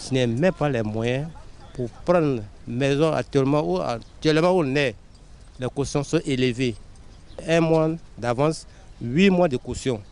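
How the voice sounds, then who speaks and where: A man in a street interview, speaking haltingly and apologetically. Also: medium pitch, a little mellow, smooth. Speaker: evicted resident.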